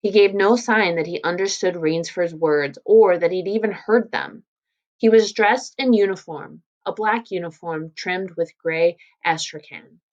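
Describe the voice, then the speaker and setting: A woman with a slightly low voice reads a story aloud as a teacher would. She adds informal, explanatory commentary as she goes. The recording is a little dull and lo-fi. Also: a little slow, a little mellow. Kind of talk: read-aloud lesson with casual explanatory commentary.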